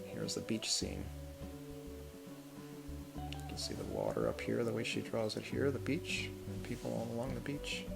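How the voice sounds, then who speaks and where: A man reads a picture book aloud as a school head would to children, with measured, expressive phrasing and pauses. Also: lowish voice, gravelly, over loud music. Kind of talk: storybook read-aloud with brief asides.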